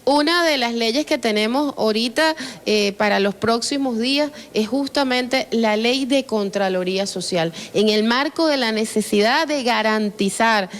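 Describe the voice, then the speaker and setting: A Venezuelan woman speaks as a government politician in a fairly high voice. Her delivery is earnest and rhetorical, stacking parallel phrases as she makes her statement. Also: a little slow, hardly any pauses.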